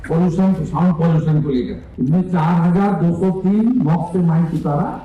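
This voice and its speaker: A man's voice: fairly high pitch, normal speed. A man giving a statement in a news clip. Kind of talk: news sound bite. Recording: very echoey, distant, slightly dull.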